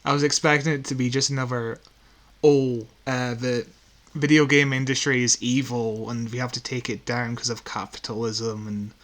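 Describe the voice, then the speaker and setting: A man's voice: mid-range voice, level tone, normal speed, fairly bright tone. Chuckling male co-host, casual chat. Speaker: podcast co-host. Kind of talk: casual chat reviewing audio dramas.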